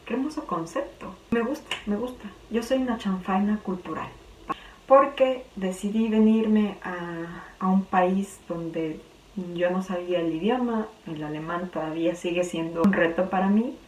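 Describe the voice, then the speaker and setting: A young woman from Ecuador with a Quito accent, talking chattily in a personal vlog and sometimes pausing to search for words. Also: mid-range voice, a little mellow. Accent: Quito Ecuadorian Spanish. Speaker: vlogger. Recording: roomy, distant, faint background hum.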